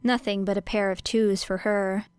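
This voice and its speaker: A woman's voice: mid-range voice, little pitch movement, moderate pace, darkish tone, clean tone, dry recording. A woman reading a scripted fiction audiobook as narrator, close on the microphone. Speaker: audiobook reader.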